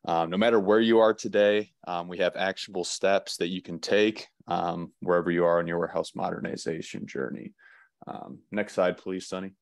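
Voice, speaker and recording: Deep-voiced guy giving a webinar talk, lots of ums, dry close mic. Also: normal speed, gravelly, no room sound. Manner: relaxed, many "um" fillers and restarts. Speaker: webinar presenter. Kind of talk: presenting slides in a webinar.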